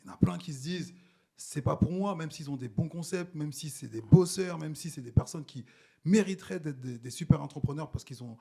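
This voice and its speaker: Husky, mellow male podcast host. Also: a little high, a little fast. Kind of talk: casual interview chat.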